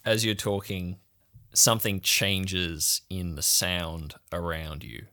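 A man narrating a tabletop roleplaying game as game master, in a measured, deliberate way. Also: deep voice, sharp tone. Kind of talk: improvised second-person scene narration for a tabletop game.

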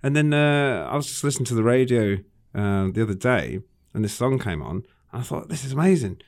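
Brief: male radio guest, earnest, halting delivery. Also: medium pitch, lively intonation, darkish tone. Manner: hesitant, many fillers and restarts, earnest. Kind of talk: casual radio chat.

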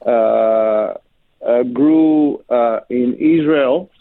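A man born in Africa, a singer and producer, answering radio interview questions by phone with many pauses and fillers. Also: medium pitch, no echo. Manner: halting, many fillers, slow and careful. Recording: tinny phone line.

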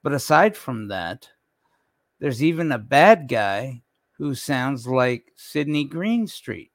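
A man hosting a radio show with casual, unscripted commentary, halting and often trailing off. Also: mid-range voice, sing-song, no echo, studio quality.

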